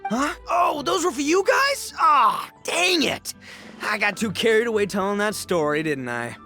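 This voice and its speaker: Brash young gang boss, wildly swooping pitch, bright forceful voice, goofy, slangy, video game acting. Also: male voice, sing-song, measured pace, soft background music, exaggerated voice. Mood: reluctant, generous. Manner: boisterous, slangy, theatrical.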